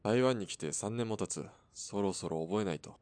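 A man plays a brooding, nonchalant marksman in a radio drama. His voice is low and closely recorded, and he answers tersely, laid-back and evasive. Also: moderate pace, a little mellow, no room sound, close to an everyday voice. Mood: offhand. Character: laconic, brooding male sharpshooter, nonchalant smoker. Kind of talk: Japanese radio drama acting.

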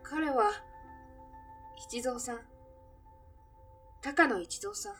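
A woman playing a friendly café barmaid with a gently teasing, feminine manner in a radio drama. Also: high voice, lively intonation, drawn-out words, far from the mic, soft background music, full character voice. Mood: bashful, reminiscing. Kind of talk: Japanese radio drama acting.

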